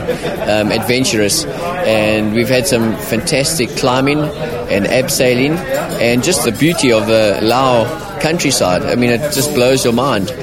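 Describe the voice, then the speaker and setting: A man competing in an adventure race, speaking enthusiastically in a casual interview. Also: medium pitch.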